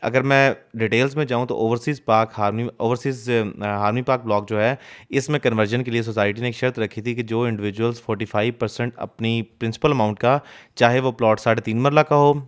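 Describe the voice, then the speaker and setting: A man talks fast over drone footage, voice clean and resonant. He walks the viewer through a map and the building work in a matter-of-fact explanatory way, slipping English terms into his sentences. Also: medium pitch, fairly even intonation, clear, resonant tone. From Pakistan. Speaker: real estate consultant.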